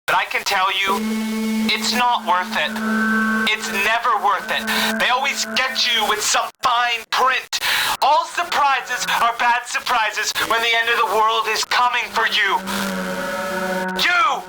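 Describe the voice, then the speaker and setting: A man's voice: extremely high pitch, unhurried, loud music behind the voice, poor audio. A man playing a paranoid conspiracy theorist, giving urgent, emphatic warnings in a radio drama. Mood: agitated. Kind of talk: radio broadcast monologue in audio drama.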